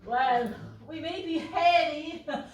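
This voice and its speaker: Lady doing mummy bear in a panto, high and motherly, teasing, muffled echoey hall. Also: takes it slowly. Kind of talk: pantomime stage acting. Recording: echoey hall, distant muffled mic, music.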